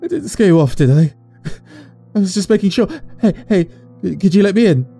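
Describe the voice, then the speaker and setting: A man's voice: high voice, lively intonation, normal speed, creaky, dark tone, husky, soft background music, exaggerated voice. A man playing an anxious, needy young man in an audio horror drama, stammering and pleading. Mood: nervous, insistent. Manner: stammering, nervously chuckling, pleading.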